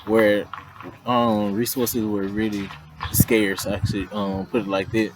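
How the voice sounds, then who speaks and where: A man, talking in a relaxed, conversational way about his story. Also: fairly low pitch, slow pace, a little hiss or hum, poor audio. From Mississippi, US. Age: 20s. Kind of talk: interview testimony.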